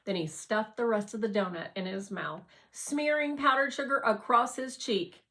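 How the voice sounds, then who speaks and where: A woman's voice: a little high, moderate pace, pushed and bright. A woman reading a children's novel aloud as scripted narration. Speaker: audiobook reader.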